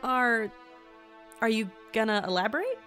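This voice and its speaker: An actress playing an awkward, wry visitor from another world in a fantasy audio drama, casual and hesitant. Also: female voice, fairly high pitch, big pitch swings, moderate pace, bright, forceful tone, smooth, faint music behind. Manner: casual, hesitant, dryly sarcastic. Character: awkward, wry, easily amazed otherworldly traveler. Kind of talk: fantasy audio drama acting with mission-log asides.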